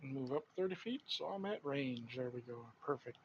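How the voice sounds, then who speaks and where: A man's voice: mid-range voice, expressive, moderate pace, muffled recording, a little hiss or hum. A man playing a tabletop game, joking casually. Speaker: player.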